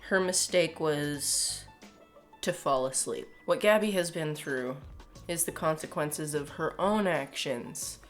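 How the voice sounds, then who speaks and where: Youngish canadian woman chatting opinions at the camera, kinda low voice, sardonic and fed up, music behind her. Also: slow. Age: young adult. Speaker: video commentator. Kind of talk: casual opinion commentary to camera. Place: small home room, indoors.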